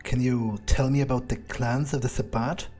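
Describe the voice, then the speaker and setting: A man's voice: mid-range voice, fairly even intonation, normal speed, darkish tone, faint music behind, close to an everyday voice. A man voicing a wary, curious young male vampire newcomer in a video game roleplay, casual and hesitant, as if thinking aloud. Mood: wary, inquisitive.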